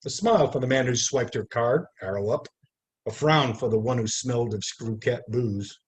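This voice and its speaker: A man reading his poetry aloud over a video call, in a deliberate, theatrical way with measured pauses. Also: mid-range voice, husky. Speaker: poet reading own work. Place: live online video call. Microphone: video call microphone.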